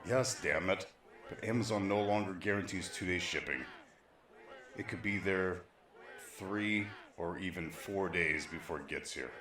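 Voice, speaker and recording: A man's voice: deep voice, unhurried, fairly bright tone. A man playing a grave, weary survivor leader in an apocalyptic audio drama, speaking in a terse, solemn, dramatic way. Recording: roomy echo, faint dramatic music behind.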